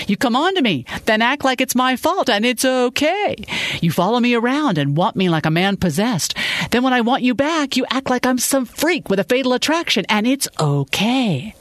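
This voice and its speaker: A woman's voice: medium pitch, slightly rough, no room sound, voice pushed up. A woman voicing a bitter, wounded, sarcastic woman in a dramatic audiobook, with emphatic, cutting delivery. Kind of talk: dramatic audiobook character dialogue.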